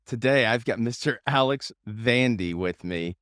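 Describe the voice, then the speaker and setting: A man's voice: mid-range voice, unhurried, fairly bright tone, no echo, clean studio sound. A man hosting a podcast in an upbeat, informal, enthusiastic way, chatting casually with a guest. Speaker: podcast host. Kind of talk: casual interview chat.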